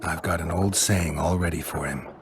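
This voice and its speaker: Game casting: man playing gruff, calm mercenary hunter, very low male voice, dry and terse, clear water ambience behind. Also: moderate pace, noisy background, close to an everyday voice. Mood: probing. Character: calm, gruff monster-hunting mercenary with returning memories. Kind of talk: video game cutscene acting.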